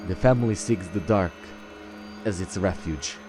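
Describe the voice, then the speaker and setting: Halting low-voiced man over game music. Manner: halting, stumbling over words when reading. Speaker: let's-play roleplay host. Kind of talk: reading game text aloud and role-playing dialogue.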